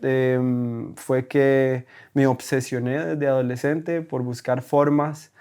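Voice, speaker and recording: A man's voice: medium pitch, flat delivery, slow, mellow, clear, resonant tone. A man from Costa Rica, a German teacher, telling his story as a podcast guest, with many hesitations and tag questions. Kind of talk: casual conversational interview. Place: recording in Berlin.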